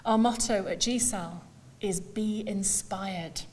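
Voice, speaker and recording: A woman delivering a prepared memorial speech, speaking formally in measured, deliberate phrases with pauses. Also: mid-range voice, a little mellow. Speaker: school leader giving a eulogy.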